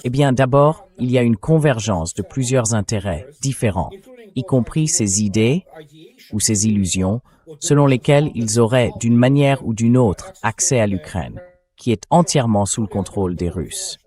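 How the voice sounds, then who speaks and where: A man's voice: mid-range voice, expressive, normal speed, mellow. A man's synthetic voice dubbing a blunt, emphatic political commentator in an interview. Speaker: interview guest, translated voice-over.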